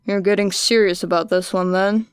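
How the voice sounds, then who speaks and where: A woman voicing a tired, gruff grown man who talks in curt, clipped lines. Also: medium pitch, fairly even intonation, moderate pace, no echo, clean studio sound, close to an everyday voice. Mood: grumbling.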